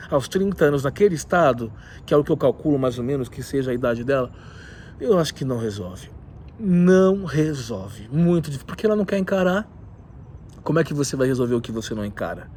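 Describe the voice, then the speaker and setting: A man with a cold, talking in an animated, opinionated way with many asides in a casual vlog, recorded in a rooftop leisure area. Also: medium pitch, slight background noise. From southern Brazil. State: has a cold. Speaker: video host.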